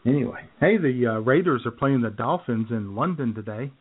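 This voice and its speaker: A man's voice: medium pitch, lively intonation, fairly quick, breathy edge. A man co-hosting a comedy podcast in dry, sarcastic casual chat, heard through a tinny, phone-like recording. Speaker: podcast co-host.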